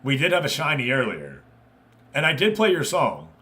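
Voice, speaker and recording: A man with a bright, forward voice hosts a live video game stream. He talks informally and conversationally, moving between commentary on the game and direct chat with the people watching. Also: mid-range voice, wildly swooping pitch, moderate pace. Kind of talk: casual game stream commentary, chatting with viewers.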